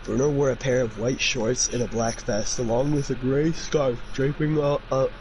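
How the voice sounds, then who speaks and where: A man's voice: mid-range voice, fairly even intonation, moderate pace, background noise. A man doing a casual amateur fanfiction reading, with informal, opinionated commentary. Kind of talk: casual amateur read-aloud with chatty commentary.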